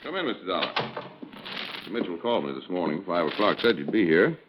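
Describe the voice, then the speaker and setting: An actor playing a brisk, businesslike male office manager in a 1950s radio drama. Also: deep voice, very animated, background noise. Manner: businesslike, matter-of-fact. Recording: dull vintage radio broadcast.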